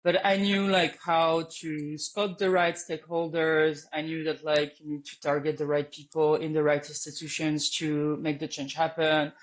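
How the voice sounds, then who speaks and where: A woman's voice: deep voice, flat delivery, moderate pace, hardly any pauses. Hesitant woman guest with many fillers. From Lebanon and France. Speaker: podcast guest, consultant. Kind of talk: conversational interview.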